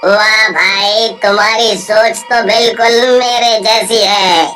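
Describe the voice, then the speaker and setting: Brief: woman as a slow-drawling, bright-toned male cartoon ghost, coaxing and vengeful. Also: mid-range voice, steady tone. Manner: slow, coaxing, prods with questioning hums. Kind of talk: cartoon voice acting. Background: soft music.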